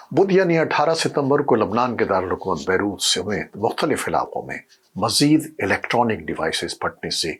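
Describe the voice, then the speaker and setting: A man presenting scripted news narration in a formal, measured newsreader manner. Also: fairly low pitch, a bit bright, breathy edge.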